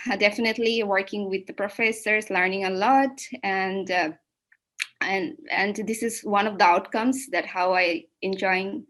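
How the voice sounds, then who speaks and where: A young woman with a Bangladeshi accent presents academic research as a PhD student, speaking in a halting way with repeated and rephrased words. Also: medium pitch, sharp tone. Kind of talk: academic seminar presentation, semi-spontaneous.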